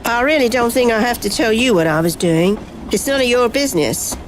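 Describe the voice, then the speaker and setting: A woman plays a brisk female FBI agent in an amateur radio drama. She is secretive and commanding, with a higher than average voice. Noise sits behind her. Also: lightly acted, low-quality recording. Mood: guarded, defiant. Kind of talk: amateur radio play acting. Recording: noticeable background noise.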